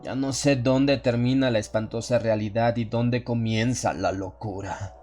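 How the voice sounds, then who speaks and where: A man reading a first-person horror story as a scripted audiobook, with measured, solemn and dramatic delivery. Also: medium pitch, steady tone, darkish tone, soft background music. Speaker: audiobook narrator.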